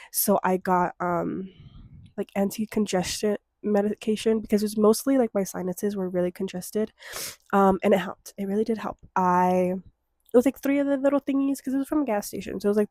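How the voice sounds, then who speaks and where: A woman's voice: mid-range voice, darkish tone, no room sound, clean studio sound. Stuffy-nosed young woman chatting casually. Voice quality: slightly congested. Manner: chatty, rambling, many ums and likes. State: stuffy nose, lingering cough. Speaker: podcast host. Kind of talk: casual solo podcast chat.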